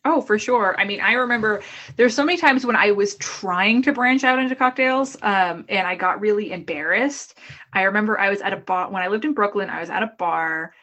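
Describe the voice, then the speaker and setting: A woman from the Boston area with a Boston accent, an author chatting casually in an interview over a video call, self-deprecating and quick to restart her sentences. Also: medium pitch, fairly bright tone, lo-fi sound. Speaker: author guest.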